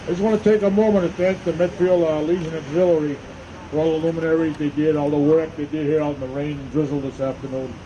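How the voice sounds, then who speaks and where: A man hosting an outdoor ceremony, giving informal, halting, unscripted announcements. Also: a little high, flat delivery, mellow. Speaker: master of ceremonies at a veterans memorial event. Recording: dull, muffled sound with faint noise.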